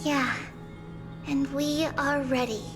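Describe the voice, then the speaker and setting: A woman's voice: high-pitched, steady tone, takes it slowly. A woman voicing an earnest, composed young girl in an anime game. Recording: loud background music.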